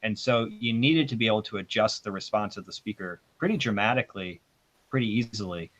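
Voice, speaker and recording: Low-voiced man with dull, muffled audio. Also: fairly quick, a bit bright. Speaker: audio expert panelist. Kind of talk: informal technical discussion. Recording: dull, band-limited sound.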